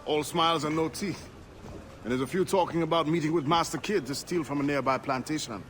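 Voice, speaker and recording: An actor playing a practical, dryly cautious pirate officer with a measured manner in a video game. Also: male voice, on the high side, a bit bright, slight background noise, lightly acted. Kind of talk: dramatic video game acting.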